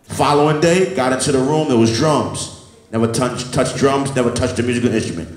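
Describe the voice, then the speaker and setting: Man in his 30s, music producer, motivational and repetitive, mid-pitched voice, echoey room. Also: brisk. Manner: motivational, repetitive, preacher-like cadence. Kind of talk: telling his life story to a live audience. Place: event hall with an audience.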